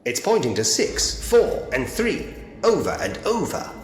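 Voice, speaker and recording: A man's voice: a little high, sing-song, unhurried. A man playing an inquisitive, articulate investigator who muses to himself in a Victorian ghost story point-and-click game. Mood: intrigued. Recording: roomy, long reverb, noisy ambient bed.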